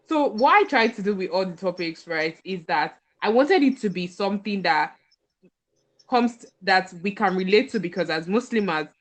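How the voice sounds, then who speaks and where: Chatty Nigerian woman hosting casually. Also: mid-range voice. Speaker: podcast host. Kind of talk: casual group chat.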